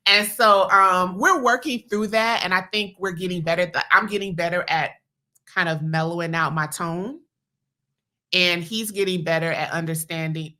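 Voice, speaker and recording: A middle-aged woman with a Southern American accent chats with her husband on a podcast. Her voice sits a little low but comes out bright and forceful, loud and emphatic, and she laughs often. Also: normal speed. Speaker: podcast host. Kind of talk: casual chat with her husband.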